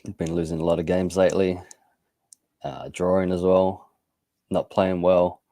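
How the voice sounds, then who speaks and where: Man, very low voice, mellow, football co-host, unhurried, dry sound. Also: little pitch movement, dry recording. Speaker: podcast co-host. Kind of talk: casual football chat.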